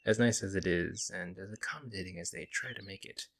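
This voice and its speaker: A man playing a friendly young werewolf, a lone wolf who talks casually and teases gently in an ASMR audio roleplay. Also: deep voice, brisk, a little hiss or hum. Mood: casual, musing. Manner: casual, hesitant musing, gently teasing. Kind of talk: ASMR audio roleplay, intimate conversational acting.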